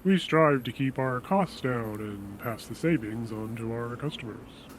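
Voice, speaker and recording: A man playing a polite, persuasive insurance salesman in an audio drama, speaking in a measured, reassuring way. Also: mid-range voice, animated, slight background noise, natural voice, rough recording. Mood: polite, businesslike. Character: smooth-talking, reassuring male death insurance salesman.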